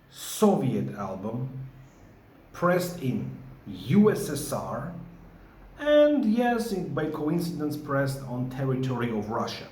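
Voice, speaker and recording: A man's voice: mid-range voice, darkish tone, reverberant, poor audio. A man with a Georgian accent, a record collector making an insistent vlog and often asking "okay?". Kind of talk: to-camera vlog.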